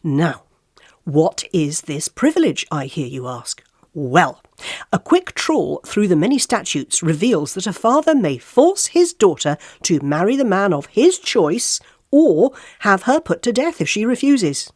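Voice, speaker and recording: Woman acting a gossip columnist, very lively intonation, arch and theatrical, mock-shocked, radio play, dry close sound. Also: lowish voice, a little slow, dry recording. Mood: arch, confiding. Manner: arch, theatrical, teasing rhetorical questions. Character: gossipy, mock-shocked female tabloid website reporter. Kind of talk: scripted gossip-column narration.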